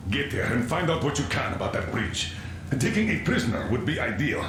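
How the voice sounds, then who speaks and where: An actor playing a stern older military colonel in a video game. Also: male voice, mid-range voice, very animated, a little fast. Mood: measured, commanding. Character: stern, commanding older military colonel leading a train crew. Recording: very echoey, noisy ambience behind.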